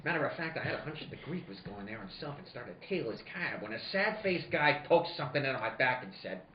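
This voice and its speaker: An actor playing a tough, wry, unflappable private detective in a noir radio play, with a terse, sardonic delivery. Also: male voice, medium pitch, big pitch swings, quick pace, slight background noise, close to an everyday voice. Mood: narrating, wry. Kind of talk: film noir radio play with first-person narration. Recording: muffled, echoey room.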